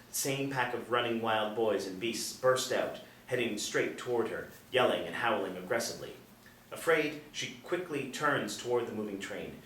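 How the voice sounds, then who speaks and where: A man narrating stage directions at a screenplay table read in measured, dramatic storytelling phrases. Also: on the low side, fairly bright tone. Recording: echoey room, distant, faint noise.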